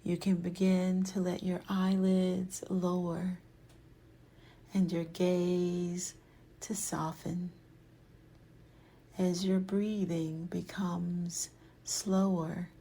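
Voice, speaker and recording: A woman guides a meditation very slowly and gently. Her voice is soft and husky, with a creaky edge at times. She is soothing and hushed, often letting phrases fade into a whisper. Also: on the low side. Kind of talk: guided yoga nidra meditation.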